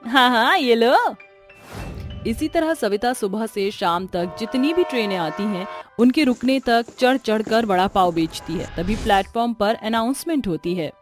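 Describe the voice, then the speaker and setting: A woman's voice: mid-range voice, lively intonation, normal speed, a little mellow. A woman narrating a scripted moral story as its storyteller. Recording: music playing behind the voice. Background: background music.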